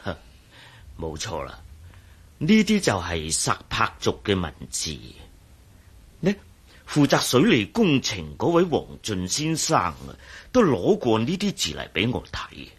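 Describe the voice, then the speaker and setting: A scholarly radio-drama professor with a low, very husky voice. He talks with a Hong Kong Cantonese accent, halting and pondering, his pitch swinging widely as he explains. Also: wildly swooping pitch, exaggerated voice. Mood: pondering, explanatory. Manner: halting, pondering, given to murmurs. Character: hesitant, scholarly professor of ancient scripts.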